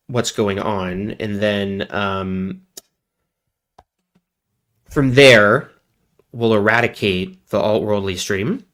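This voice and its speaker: Low-voiced sick male streamer. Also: fairly bright tone. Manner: rambling, joking, self-deprecating. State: sick with a cold. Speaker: livestream host. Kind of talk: casual livestream chat.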